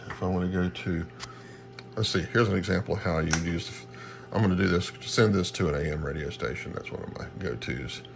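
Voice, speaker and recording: Deep-voiced man over radio hiss. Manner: halting, thinking aloud while operating. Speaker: radio hobbyist demonstrating equipment. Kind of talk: casual hands-on demonstration. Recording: clear radio static and hiss behind. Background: shortwave radio noise.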